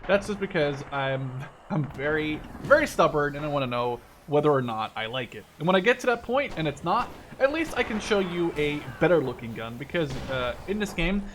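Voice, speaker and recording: A man's voice: fairly high pitch, very animated, a little fast, few pauses, slight background noise. A man in his twenties from the Netherlands giving casual, rambling commentary while he plays a shooter game, often correcting himself as he concentrates. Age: 20s. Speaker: gameplay video creator. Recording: loud game artillery and gunfire behind voice. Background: artillery fire and gunshots from the game.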